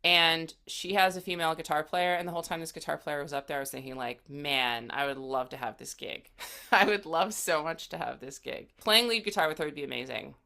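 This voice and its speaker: Young woman musician chatting in an interview, pretty low voice but bright, casual and conversational. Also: fairly quick, vocal fry. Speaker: musician guest, singer and guitarist.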